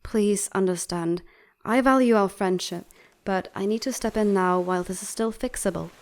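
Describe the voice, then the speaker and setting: A woman's voice: mid-range voice, moderate pace, natural voice. A woman playing an outspoken, protective bird doctor at an academy in a comic fandub, articulate, insistent and reproachful. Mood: concerned reproach. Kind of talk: dramatic comic fandub acting.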